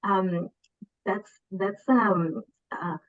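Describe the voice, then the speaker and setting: A woman's voice: medium pitch, very slow, phone-quality sound. A woman in her twenties with a Peruvian Spanish accent, speaking on a panel, hesitant and searching for words with many restarts. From Peru. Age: 20s. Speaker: panelist, development communicator. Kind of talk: panel discussion.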